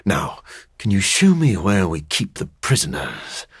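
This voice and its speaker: Video game vampire gentleman: lowish, gravelly voice, measured and theatrical, dry wit over a brooding core. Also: huge pitch swings, no echo, full character voice. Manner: formal, measured, wry and theatrical delivery. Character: brooding, dryly witty, gentlemanly male scientist vampire. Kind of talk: scripted video game character lines.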